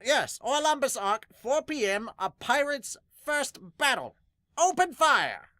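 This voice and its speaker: A podcaster acts out a grand, theatrical announcer for a manga dub, pitched high and emphatic. The delivery is bold and showy, with animated swings, in a dry recording. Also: no echo, exaggerated voice. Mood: grand, excited. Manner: grandiose, emphatic, drawn-out words.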